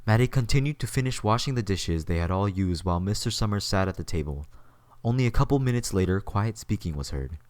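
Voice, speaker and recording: A man's voice: fairly low pitch, lively intonation, fairly quick, darkish tone, dry recording. A man reading scripted story narration as the narrator of an audio drama.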